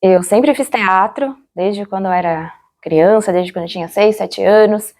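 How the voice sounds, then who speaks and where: A Brazilian woman giving an interview, recalling her experience in a reflective, halting way, searching for words and often checking for agreement. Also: medium pitch, darkish tone. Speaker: interviewee, former radio drama actor. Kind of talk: interview, personal recollection.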